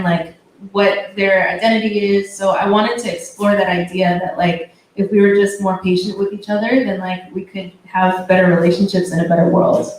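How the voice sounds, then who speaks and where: A young woman from Boston, a musician guest in a casual interview, speaking thoughtfully with frequent restarts and fillers. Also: medium pitch. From Boston, USA. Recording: echoey room, distant mic, faint noise.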